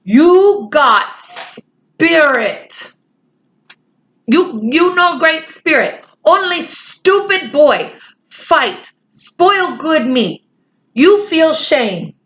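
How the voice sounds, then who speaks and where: A tiny, fierce warrior man, put on by a woman reading a storybook who speaks in clipped, broken sentences. The voice sits higher than her own and comes out slow and forceful, every word pressed down hard. He sounds proud and quick to scold. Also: sing-song, full character voice, rough recording.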